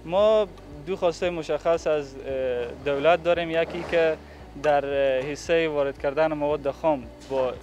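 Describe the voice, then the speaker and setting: A young man, slightly muffled by a mask, giving formal, halting interview answers as a factory representative into a handheld microphone. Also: fairly high pitch, darkish tone, soft background music. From Afghanistan. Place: large indoor factory hall. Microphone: handheld reporter microphone held toward him.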